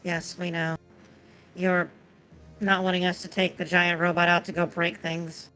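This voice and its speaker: A woman's voice: on the low side, flat delivery, unhurried, sharp tone, faint music behind, rough recording. A woman whose first language is Japanese, chatting casually and profanely with viewers while streaming a game.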